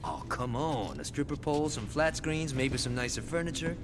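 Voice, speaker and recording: An actor playing a cocky, foul-mouthed gang lieutenant in a video game cutscene, talking with profane wisecracks. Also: male voice, on the high side, moderate pace, noisy background, lightly acted. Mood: scheming. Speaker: game character.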